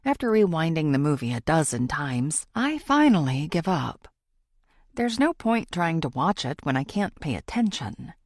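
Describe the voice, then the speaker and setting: A woman playing a thoughtful, determined amateur sleuth, narrating a thriller audiobook in an introspective, expressive way. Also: low-pitched, moderate pace, no echo, studio quality. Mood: pensive, determined.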